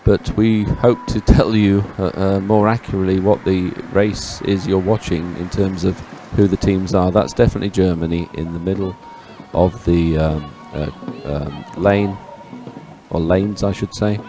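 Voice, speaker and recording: Male live sports commentator, lowish register, unscripted and a bit halting, prominent music under the voice. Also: steady tone. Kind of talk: live race commentary and chat. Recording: music behind the voice.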